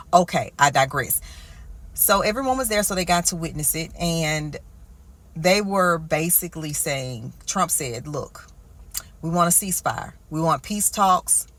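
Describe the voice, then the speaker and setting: Slangy American woman telling a story. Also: sits low. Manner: slangy storyteller, acting out quotes colloquially. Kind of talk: casual animated recap of a political meeting.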